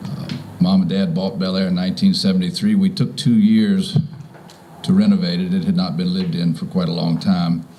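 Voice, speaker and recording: A man in his fifties or older from Virginia giving an informal, halting welcome speech as a property owner. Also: sits low, little pitch movement, warm tone. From Virginia, United States. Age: 50s or older. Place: outdoors in front of a house.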